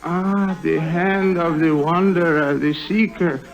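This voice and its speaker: An actor playing an old, flattering bazaar fortune teller in an old radio drama. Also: male voice, fairly high pitch, slow pace, vocal fry, dull audio. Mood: mystical, solemn. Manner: flowery, reverent flattery in broken English. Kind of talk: 1950s radio drama acting. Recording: old radio recording, faint music.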